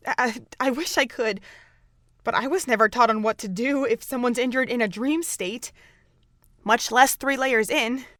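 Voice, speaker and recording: A woman's voice: fairly high pitch, measured pace, a bit bright, no echo, studio quality. A young woman acting a guarded, easily frustrated young woman in a fan audio drama. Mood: uneasy.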